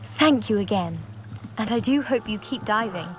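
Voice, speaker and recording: A woman's voice: medium pitch, lively intonation, moderate pace, lightly acted. An actress playing a grateful, encouraging woman in a fantasy video game. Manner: polite, encouraging.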